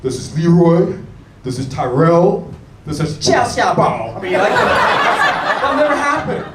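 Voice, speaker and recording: An American man doing a stand-up comedy set on a club stage, animated and chatting with the audience. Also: high-pitched, slow pace. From United States. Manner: animated, mimics locals and chats with the audience. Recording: echoey room taped from far off, crowd noise.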